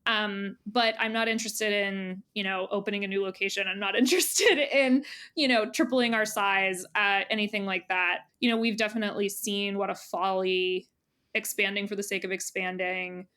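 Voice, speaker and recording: A woman's voice: mid-range voice, fairly even intonation, moderate pace, sharp tone, smooth. A woman speaking as an editor being interviewed, in a casual conversational style, thinking aloud with frequent "you know" and "like". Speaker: magazine editor, interview guest.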